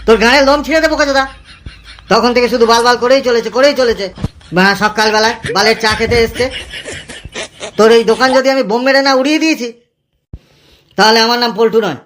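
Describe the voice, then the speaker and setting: A man's voice: very high voice, fast, pauses often, a bit bright. A man voicing a hot-tempered, foul-mouthed young village man in a comedy cartoon, brash and crude. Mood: irritated, ranting. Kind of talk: exaggerated comedy cartoon dialogue. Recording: clearly noisy recording.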